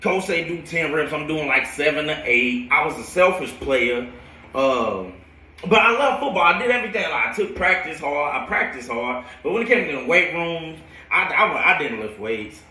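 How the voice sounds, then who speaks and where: A man in his late twenties with an American accent talks like a coach swapping stories, loose, slangy and repetitive. His voice is slightly high and has a bright, punchy edge. It sounds picked up from a little way off the microphone. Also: lively intonation, moderate pace. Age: late 20s. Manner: slangy, rambling, repeats phrases, acts out quotes. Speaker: football coach telling his own stories to viewers. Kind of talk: casual storytelling livestream. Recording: roomy echo, distant from mic.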